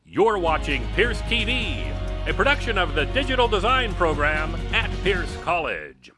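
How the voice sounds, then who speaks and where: Guy doing a tv station announcement, high animated voice, music behind. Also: moderate pace. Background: loud music.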